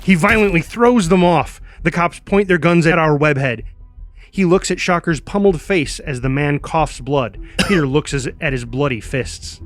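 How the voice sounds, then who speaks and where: A man's voice: a little high, expressive, normal speed, faint music behind. A man reading scripted stage directions in present tense, with a punchy, clipped, dramatic delivery. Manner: punchy, clipped delivery with exclaimed sound words. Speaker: stage-direction narrator at a table read.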